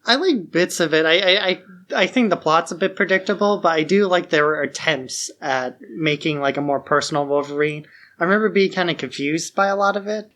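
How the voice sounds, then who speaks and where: A man's voice: on the high side, fairly bright tone. A man co-hosting a podcast, giving his opinions on films in an informal, conversational way. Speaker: podcast co-host. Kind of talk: casual film discussion podcast.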